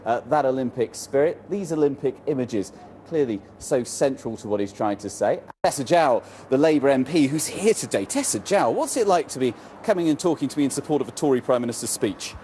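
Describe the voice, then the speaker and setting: A man reporting and interviewing outdoors for the news, brisk and probing with a dry edge. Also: mid-range voice, expressive, mellow, slight background noise.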